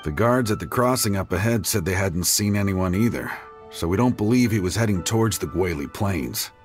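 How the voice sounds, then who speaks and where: A man's voice: sits low, animated, normal speed, faint music behind. An actor playing a matter-of-fact male guard who gives a plain report in a video game. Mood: sober.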